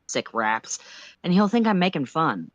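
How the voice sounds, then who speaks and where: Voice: mid-range voice, big pitch swings, measured pace, dry recording, highs cut off, voice pushed up. A narrator voicing an anxious, sarcastic teenage boy who mutters and interrupts himself in a story reading. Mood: flustered. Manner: muttering, self-interrupting, sardonic.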